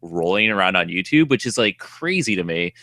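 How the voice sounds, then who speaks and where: Bright-voiced man, slight Boston accent. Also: low-pitched, very animated, brisk, dry recording, studio quality. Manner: rambling, laughing, trailing off mid-sentence. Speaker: podcast co-host. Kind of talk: casual chat, reading listener mail.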